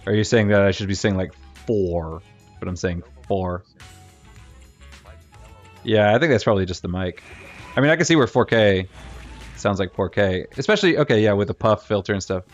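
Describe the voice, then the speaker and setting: A man's voice: lowish voice, moderate pace, long pauses. A middle-aged man with a Virginian accent, chatting casually and rambling while he streams a game. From Virginia, USA. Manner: rambling, self-correcting, thinks aloud. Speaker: gaming streamer. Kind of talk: casual chat while livestreaming gameplay. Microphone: desk mic. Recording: dull, lo-fi stream mic with game music.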